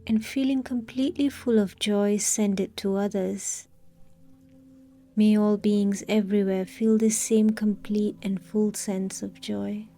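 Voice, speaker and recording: A woman leading a scripted guided meditation in a calm, soothing, unhurried manner with long pauses. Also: mid-range voice, soft background music.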